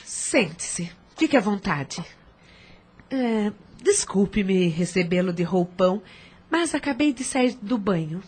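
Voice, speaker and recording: A woman's voice: mid-range voice, lively intonation, slow, a little mellow, slightly rough. A woman playing a flirtatious, haughty socialite in an audio drama, coy and theatrical in manner. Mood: playful, surprised.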